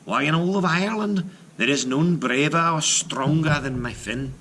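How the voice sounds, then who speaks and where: A man voicing a shrewd, bold wife in a folktale, brisk, coaxing and sure of herself. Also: fairly high pitch, a bit bright, a little hiss or hum, voice pushed up, close to an everyday voice. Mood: proud. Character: shrewd, bold giant's wife, calm and teasing.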